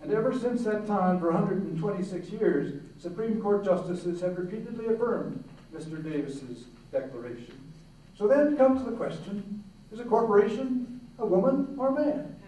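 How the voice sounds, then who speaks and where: A man's voice: sits high, animated, normal speed, dark tone, a little hiss or hum. A man telling history in a staged dramatic reading, recorded in a large hall. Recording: very echoey hall, distant mic.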